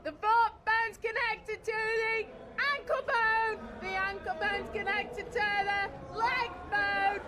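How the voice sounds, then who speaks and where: A woman's voice: very high-pitched, level tone, takes it slowly, hardly any pauses, pushed and bright, exaggerated voice. A woman voicing a childlike, needy girl in a theatrical monologue, with a sing-song delivery. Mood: eerie, playful. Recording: faint background noise, room ambience.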